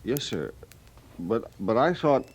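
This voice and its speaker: An actor playing a deferential, hesitant junior cavalry officer in an old-time radio western. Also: male voice, medium pitch, big pitch swings, slow, slightly rough, full character voice. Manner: hesitant, respectful. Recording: faintly noisy vintage radio recording.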